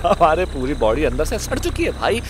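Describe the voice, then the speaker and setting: Man acting a high, panicky comic captive. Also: sing-song, brisk, noisy background. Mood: pleading. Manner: stammering, whining, swearing. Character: panicky foul-mouthed comic male captive, cowardly sidekick. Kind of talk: Hindi horror-comedy audio drama acting.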